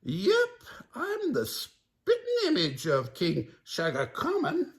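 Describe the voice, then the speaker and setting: A man voicing a goofy, jittery, always-hungry young man in a high, squeaky voice that keeps saying "like". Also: huge pitch swings, slow, full character voice.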